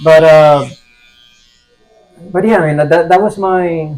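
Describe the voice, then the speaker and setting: A man in his forties from Puerto Rico talks casually as a podcast guest. His voice sits high for a man, and he hesitates often, filling gaps with "uh" and starting his sentences over. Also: steady tone, slow, darkish tone, faint music behind. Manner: hesitant, many fillers and restarts. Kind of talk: casual conversation.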